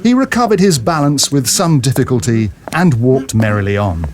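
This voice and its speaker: A man narrates a story for an audio drama. His mid-pitched voice moves up and down with lively intonation through the scripted prose. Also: normal speed, background noise.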